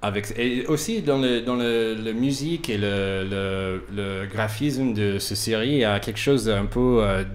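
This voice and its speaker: Halting male radio host, faint background noise. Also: on the low side. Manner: halting, searching for words with repeated starts. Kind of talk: casual, unscripted radio interview.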